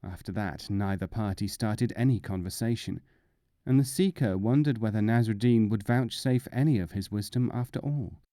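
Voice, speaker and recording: Mellow, lowish-voiced male storyteller. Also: moderate pace, no room sound, studio quality. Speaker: audiobook narrator. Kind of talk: scripted storybook narration.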